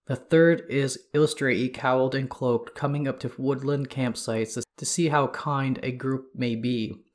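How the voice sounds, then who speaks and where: A man hosting a podcast, sounding congested as he reads lore in a steady, measured way. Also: medium pitch. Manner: steady, measured reading of reference material. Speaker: podcast host. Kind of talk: scripted lore narration.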